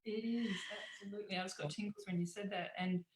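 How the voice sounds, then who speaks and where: An enthusiastic woman from Western Australia joins a live video call from home. Her gushing voice carries a roomy echo, at an ordinary middle pitch. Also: fairly even intonation, quick pace. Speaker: guest. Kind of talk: casual spiritual chat on a live video call.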